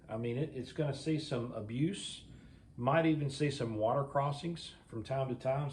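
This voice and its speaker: A man, casually talking through his own jeep restoration in a workshop vlog, folksy and rambling, a little raspy this morning. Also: mid-range voice, a little mellow, a little hiss or hum. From Mississippi. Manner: folksy, rambling, thinking aloud.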